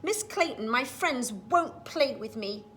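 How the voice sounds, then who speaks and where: A woman's voice: a little high, lively intonation, slow pace, fairly bright tone, a little hiss or hum. A woman voicing a stubborn young schoolboy in a storybook read-aloud. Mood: confused, sad. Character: stubborn young schoolboy, selfish but learning. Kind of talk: storybook character voice.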